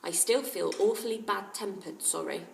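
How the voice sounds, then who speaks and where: A woman's voice: medium pitch, normal speed, gravelly, darkish tone, a little hiss or hum. A woman voicing a weary, grumpy boy with flu in a storybook reading. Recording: roomy, distant microphone.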